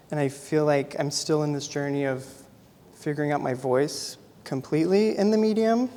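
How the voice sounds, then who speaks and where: A soft-spoken young man from Idaho gives an informal artist talk about his photography, pausing often with "um.". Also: fairly high pitch. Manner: soft-spoken, many ums. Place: gallery. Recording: long hall reverb.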